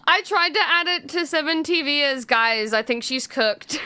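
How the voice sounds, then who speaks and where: A woman's voice: sits high, takes it slowly, pushed and bright, dry recording. A young woman streamer chatting casually with viewers, swearing freely and laughing often. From Australia.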